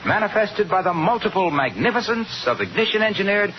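A male radio announcer delivering a florid, jovial vintage sales pitch. His voice sits fairly high and moves about in lively fashion, on a muffled, noisy old recording. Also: fairly quick. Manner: jovial, florid, alliterative patter. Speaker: commercial announcer. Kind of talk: 1950s radio advertising pitch.

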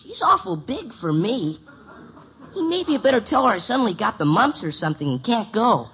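An actor's performance of a boyish, awkward, excitable schoolboy who blurts and stammers, in a 1950s radio sitcom. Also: mid-range voice, normal speed. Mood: scheming. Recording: dull, faintly noisy old radio recording.

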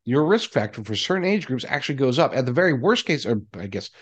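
Guy doing news commentary, average pitch, reads stuff out then adds emphatic asides, repeats key bits. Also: sing-song, breathy edge. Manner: emphatic, deliberate repetition of key phrases. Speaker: commentary show host. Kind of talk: news commentary, reading an article aloud with asides.

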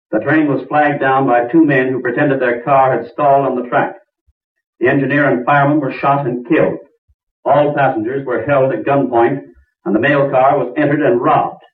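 An actor playing a formal, grave radio newscaster delivering a declamatory bulletin in a 1940s drama. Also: male voice, mid-range voice, close to an everyday voice. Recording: distant, echoey, tinny old radio bulletin.

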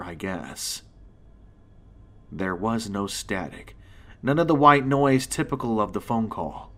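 A man reading a scripted first-person horror story as its narrator, with dramatic, suspenseful pauses. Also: fairly low pitch, fairly bright tone.

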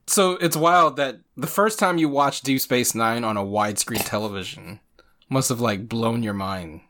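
A man's voice: medium pitch, moderate pace, fairly bright tone. A man from Virginia co-hosting a podcast, chatting casually, reflective and teasing, and laughing often. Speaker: podcast co-host. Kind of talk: casual conversation.

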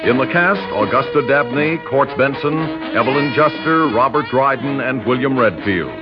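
A man's voice: medium pitch, normal speed. A man reading credits as a formal, emphatic radio announcer in 1960s style. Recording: dull 1960s radio recording. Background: orchestral music.

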